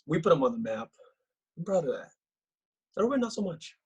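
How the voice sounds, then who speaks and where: A man's voice: a little high, very animated, fairly quick, dull audio, a little hiss or hum. A man playing a cocky, oblivious young actor and ladies' man in a table read of a comedy script, with a breezy, boastful manner. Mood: smug.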